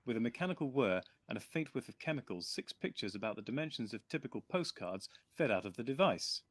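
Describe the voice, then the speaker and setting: A man narrating an audiobook in a measured storytelling manner, with lively character voices. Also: mid-range voice, dry recording. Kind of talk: reading an audiobook aloud.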